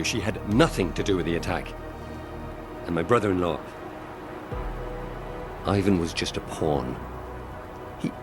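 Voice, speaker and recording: An actor playing a guilt-ridden, protective police lieutenant in a video game, speaking in an earnest, guarded, halting way. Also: male voice, low voice. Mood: guarded, pleading. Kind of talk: video game dialogue acting.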